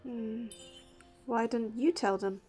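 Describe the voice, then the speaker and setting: A woman's voice: fairly high pitch, steady tone, takes it slowly, darkish tone, faint music behind. An actress playing an earnest, determined young woman hunter in a scripted game, voicing her dialogue and first-person narration. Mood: tense, serious.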